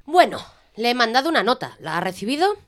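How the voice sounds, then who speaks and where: A woman plays a stern school headmistress in an audio drama. She is formal and guarded, scolding with authority in a lively, swooping intonation. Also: on the high side, quick pace, background noise. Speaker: actor.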